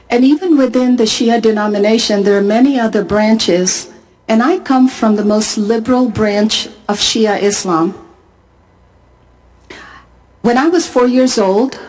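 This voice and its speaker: A middle-aged woman from Pakistan gives a testimony talk at a conference. Her voice sits a little high. She speaks earnestly and measures her phrases, pausing with care. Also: darkish tone, low-quality recording. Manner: measured, earnest storytelling with deliberate pauses. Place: large arena.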